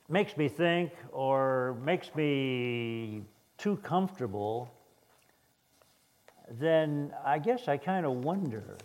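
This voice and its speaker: An older man with a hoarse voice, preaching a sermon in a halting way with many restarts and fillers. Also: a little high, a little mellow. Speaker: guest preacher.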